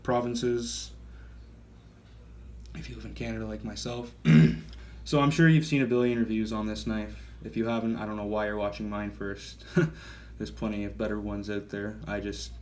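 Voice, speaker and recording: Rambling low-voiced man in his thirties. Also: flat delivery, moderate pace, darkish tone. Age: 30s. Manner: rambling, self-correcting, enthusiastic. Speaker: knife reviewer. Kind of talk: casual gear review vlog.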